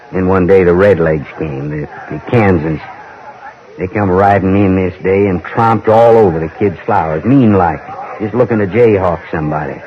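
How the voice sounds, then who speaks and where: A man playing a brooding, weary American ex-raider cowboy in a radio western, halting and rambling in a folksy drawl. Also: low-pitched, natural voice. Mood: somber, resigned. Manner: halting, rambling, plain-spoken. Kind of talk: old-time radio western acting. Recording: dull vintage radio recording.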